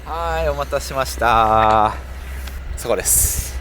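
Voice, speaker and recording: A man's voice: mid-range voice, slow pace, a bit bright. A man presenting a casual vlog to camera, explaining things politely with frequent fillers. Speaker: cycling YouTuber, host. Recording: clear outdoor ambient and vehicle noise.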